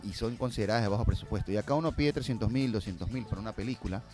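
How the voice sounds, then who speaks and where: A man's voice: lowish voice, very fast, warm tone, soft background music. A man with an Ecuadorian accent answering as a filmmaker guest in a conversational, informal, slangy interview style.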